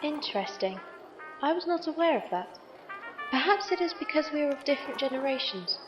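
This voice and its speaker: A woman's voice: high voice, expressive, fairly quick. A woman acting as a precise artificial servant in an audio drama, stiff and formal, with an echoing synthetic effect. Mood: formal, curious. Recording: echoey, dull sound under music.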